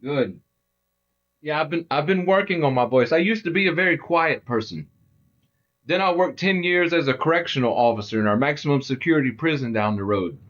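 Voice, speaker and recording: Man, middle-aged, loud voice, chatty, a bit high, game streamer. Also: expressive, a little fast, lo-fi sound. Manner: loud, chatty, talks to viewers. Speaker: livestream host. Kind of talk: casual gaming livestream chat.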